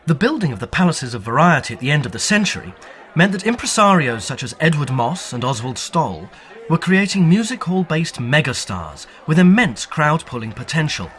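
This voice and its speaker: A man reading scripted documentary narration in a measured, formal, lecturing manner. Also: on the high side, big pitch swings, fairly bright tone.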